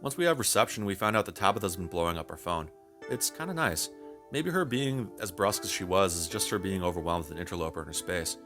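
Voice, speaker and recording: Very deep-voiced, brisk male reviewer over music. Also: lively intonation. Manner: wry, wordy, casually profane. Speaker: video game reviewer. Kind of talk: scripted narration for a game review.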